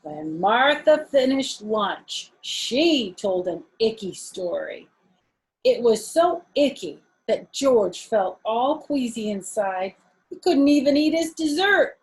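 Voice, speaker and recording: A woman's voice: on the high side, animated, slow pace, low-quality recording. A woman storyteller reading aloud to young children, adding casual explanations and questions as asides.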